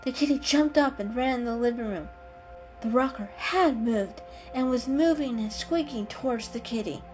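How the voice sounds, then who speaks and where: A woman's voice: fairly high pitch, moderate pace, a little mellow, low-quality recording. A woman narrator giving a dramatic storybook reading. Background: music.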